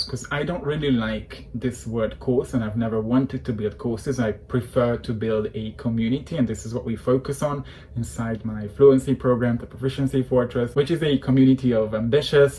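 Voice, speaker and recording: Warm-voiced male coach. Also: mid-range voice, a little hiss or hum. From Hungary. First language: Hungarian. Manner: passionate, persuasive, opinionated. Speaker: English coach. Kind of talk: direct-to-camera talk.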